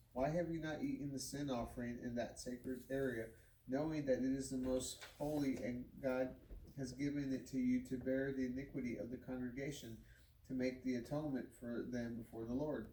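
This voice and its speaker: A man with a soft-edged, mellow voice reads scripture aloud, stumbling now and then and repeating words. He is recorded at some distance in a roomy living room, with faint noise underneath. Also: medium pitch. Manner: halting, stumbles over words and restarts. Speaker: Bible reader.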